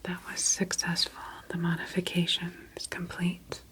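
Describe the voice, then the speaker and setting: A woman in a soft ASMR roleplay, speaking in a breathy whisper as a gentle, reassuring clinical office worker. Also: fairly low pitch, monotone, normal speed, poor audio.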